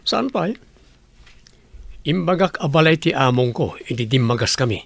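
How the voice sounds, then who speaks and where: A man's voice: mid-range voice, expressive, normal speed, gravelly, no echo. A man playing a humble, earnest young working man in a radio drama. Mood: matter-of-fact.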